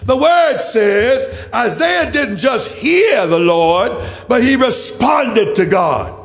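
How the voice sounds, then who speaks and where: A man's voice: extremely high pitch, expressive, slow, vocal fry. A man preaching an impassioned church sermon in a declamatory, emphatic way, with repeated rhetorical phrases. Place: large church. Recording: reverberant hall, faint music.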